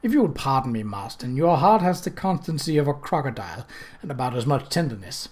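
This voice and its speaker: Man playing a mild, dryly amused gentleman bachelor. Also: medium pitch, big pitch swings, brisk, breathy edge. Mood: quietly amused. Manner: mild, sober, understated.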